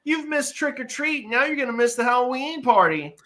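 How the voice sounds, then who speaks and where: A performer voicing a loud, bossy, crabby little cartoon girl in a live comedic table read, brash and emphatic. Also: high-pitched, animated, fairly quick. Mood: bossy, scolding.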